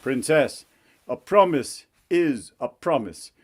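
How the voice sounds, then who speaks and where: Fatherly king, male storyteller performing: genial authority, deliberate pace, expressive royal delivery. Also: medium pitch. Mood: stern.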